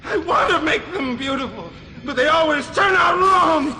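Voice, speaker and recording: An actor playing a deranged perfectionist surgeon in a video game, manic, theatrical and shrieking. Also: male voice, extremely high pitch, lively intonation, normal speed. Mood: frantic, anguished. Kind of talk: over-the-top video game villain acting. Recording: very noisy, loud ambience.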